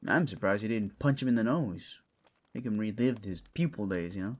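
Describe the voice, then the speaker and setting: Male actor as fantasy raccoon mentor, casual, teasing, fussy, caring, animated intonation. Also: on the low side, moderate pace. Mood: casual concern. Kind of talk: fantasy audio roleplay acting.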